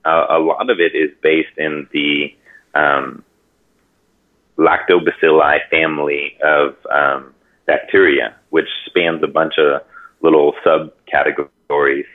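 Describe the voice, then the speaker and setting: A man from Hawaii explaining things casually and haltingly, pausing often mid-sentence, over a call. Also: very deep voice, flat delivery. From Hawaii, United States. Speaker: interview guest, natural farming expert. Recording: narrow tinny phone-like line.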